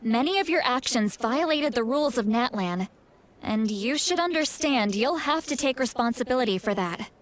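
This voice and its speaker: A woman's voice: on the high side, expressive, normal speed, close to an everyday voice. A woman playing a bossy, blunt, teasing leader and tough granny with hidden fondness in a video game. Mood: stern. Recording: music playing behind the voice.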